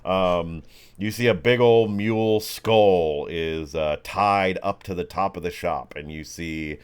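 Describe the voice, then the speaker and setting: A man narrating a tabletop game as game master, casually improvising in a halting way with frequent restarts. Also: sits low, clean tone. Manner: halting, loosely conversational, many mid-sentence restarts.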